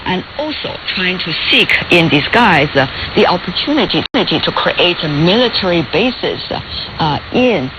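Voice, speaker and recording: Interviewer, medium-pitched voice, formal deliberate questions, lo-fi noisy radio relay. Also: very animated. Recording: dull lo-fi radio relay with static noise.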